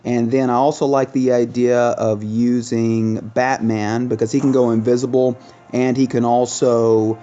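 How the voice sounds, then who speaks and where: A man with a relaxed, dark-toned voice gives casual commentary as he hosts a gaming video. He is chatty and thinks aloud, trailing into pauses as he decides. The sound is a little dull and lo-fi, like a simple home recording. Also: mid-range voice, faint music behind.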